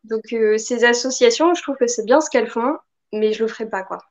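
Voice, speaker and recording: A woman's voice: fairly high pitch, a little fast. A woman speaking as a guest in a casual live interview, joining remotely. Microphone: remote video call. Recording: slightly dull remote call sound.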